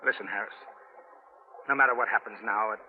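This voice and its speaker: A man's voice: lowish voice, steady tone, fairly quick, phone-quality sound, close to an everyday voice. A male actor playing a sarcastic, suspicious stranded man with a clipped, theatrical delivery in an old-time radio drama. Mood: grim. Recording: thin, noisy vintage radio broadcast.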